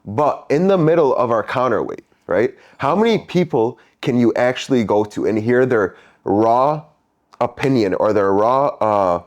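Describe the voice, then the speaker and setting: A man's voice: mid-range voice, expressive, a little slow. A man from South Lebanon guesting on a podcast, speaking reflectively and checking in with "right?". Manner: reflective, explains with repeated "right?". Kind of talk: casual chat.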